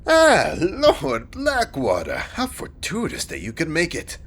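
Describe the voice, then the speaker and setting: A man's voice: a little high, wildly swooping pitch, takes it slowly, fairly bright tone, full character voice. A man playing a haughty, dismissive king in a fantasy audio drama, speaking in a pompous, formal, condescending way. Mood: courtly, gracious.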